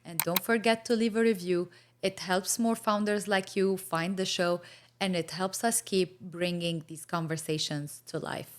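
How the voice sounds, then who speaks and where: A woman's voice: on the low side, fairly even intonation, a little slow, a little hiss or hum. A woman hosting an interview podcast, introducing her guest and asking questions in an upbeat way. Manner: upbeat, with brief affirming backchannels. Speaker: podcast host.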